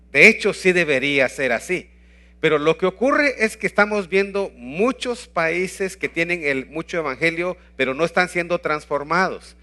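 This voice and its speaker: Bright-voiced Guatemalan man teaching. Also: sits high. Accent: Guatemalan Spanish. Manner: earnest, emphatic preaching with rhetorical questions. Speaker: pastor and evangelism teacher. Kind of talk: teaching talk with slides.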